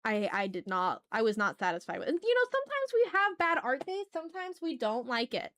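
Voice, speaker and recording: A woman's voice: high-pitched, very animated, fairly quick, fairly bright tone. A woman hosting a casual art livestream, thinking aloud as she draws and chatting to viewers in informal, slangy, effusive language. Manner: thinks aloud while working. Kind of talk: casual livestream chat while drawing.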